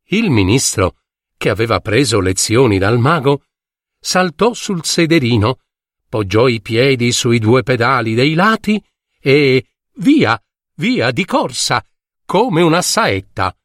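A man telling a fairy tale in an expressive, theatrical way, lingering on repeated words. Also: medium pitch, takes it slowly, no echo, clean studio sound. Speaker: storyteller.

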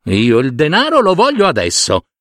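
A man's voice: fairly high pitch, wildly swooping pitch, moderate pace, a bit bright, dry recording, studio quality, voice pushed up. A man voicing a henpecked but stubborn husband in a folk tale, with an emphatic way of repeating words. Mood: demanding, threatening. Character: henpecked husband, meek with wife, stubborn when pushed.

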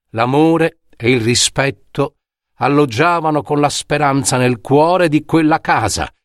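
Slow, theatrical male fairy-tale storyteller, closely recorded. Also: a little high, no echo, studio quality. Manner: theatrical, measured, with suspenseful pauses. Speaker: storyteller and author reading his own fairy tale. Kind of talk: dramatic scripted fairy-tale narration.

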